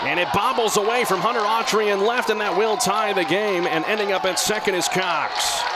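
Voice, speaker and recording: A man doing live radio baseball play-by-play in measured, descriptive, clipped phrases. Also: high-pitched. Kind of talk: live radio sports commentary. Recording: clear steady outdoor ballpark noise.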